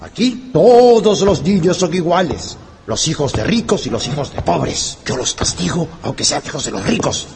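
An actor playing a stern, pompous schoolteacher who scolds formally in a radio drama. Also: male voice, fairly high pitch, very animated, moderate pace, gravelly, very noisy. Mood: angry scolding. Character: stern male schoolteacher, pompous, favouring the rich pupil.

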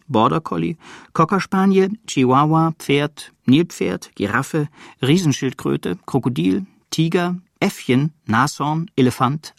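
An actor playing an announcer who reads out an inventory deliberately, item by item. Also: male voice, on the high side, gravelly, no room sound, studio quality, full character voice. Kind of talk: scripted list reading.

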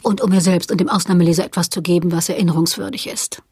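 A woman's voice: on the low side, fairly even intonation, quick pace, a little mellow, husky, no room sound. A woman playing a self-assured, ironic popular novelist in an interview, articulate, dry and slightly pompous. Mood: self-satisfied. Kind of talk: dialogue of a staged interview.

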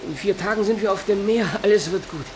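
A man's voice: high voice, quick pace, close to an everyday voice. An actor playing a young male Scottish soldier on the run, who talks urgently and pleads in a radio play. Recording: noisy old radio recording.

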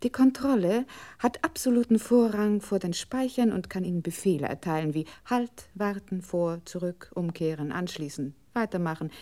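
A woman's voice: lowish voice, moderate pace, mellow, lightly acted. A woman playing a formal, commanding computer control unit in a radio play, speaking with emphatic, precise enunciation. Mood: formal, explanatory. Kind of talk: scripted 1960s radio play narration.

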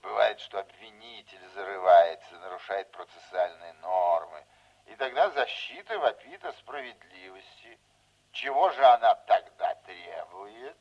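An older man acting in a radio play as a folksy, scheming veteran politician, unhurried, fatherly and insinuating. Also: on the low side, animated, muffled recording. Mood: insistent, scheming.

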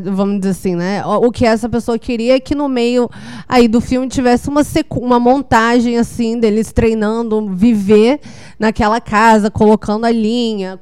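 A Brazilian woman talks casually about films in a fairly high, smooth voice. She is slangy and informal, rambling and rephrasing as she goes, and the sound is close and dry. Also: mellow, no room sound. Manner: informal, slangy, rambling with frequent self-corrections. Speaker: podcast panelist. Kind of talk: casual film discussion.

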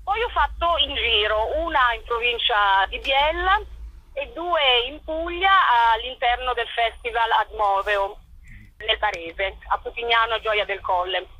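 A woman answering interview questions as a playwright and performer, in a colloquial, animated storytelling way. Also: fairly high pitch, unhurried, dry recording, rough recording.

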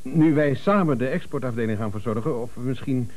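An actor playing a hesitant, deferential and quietly cheeky export clerk in a 1970s radio comedy. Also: male voice, mid-range voice, fairly quick, close to an everyday voice. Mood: shy, relieved. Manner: hesitant, obsequious to the boss.

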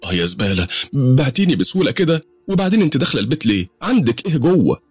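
A man voicing a bold, sharp-tongued young woman in a storybook tale, defiant and indignant in Egyptian Arabic. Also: lowish voice, lively intonation, fast, husky, no echo, soft background music, voice pushed up. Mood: wary.

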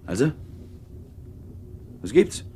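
An actor playing an impatient, domineering ship's officer in a radio drama, speaking in curt commands. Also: male voice, drawn-out words, dark tone. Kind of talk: 1950s radio drama acting. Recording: slightly noisy 1950s radio recording.

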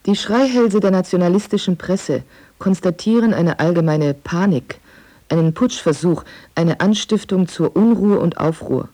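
A woman's voice: lowish voice, moderate pace, warm tone. A woman reading quoted newspaper texts from a script, formal and pointed. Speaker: reader of quoted newspaper articles.

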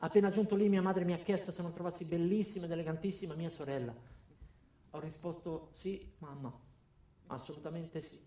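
An actor playing an ordinary man turned bitter and cruel, delivering a deliberate, theatrical first-person monologue for a radio drama. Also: fairly high pitch, fairly even intonation, natural voice. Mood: cold, detached. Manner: theatrical, deliberate, swinging from loud to hushed.

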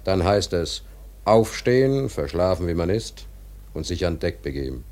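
Low dark voice, resigned seaman, radio play monologue, understated, halting phrases. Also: sing-song. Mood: resigned, musing. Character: resigned, easygoing seaman musing drowsily to himself.

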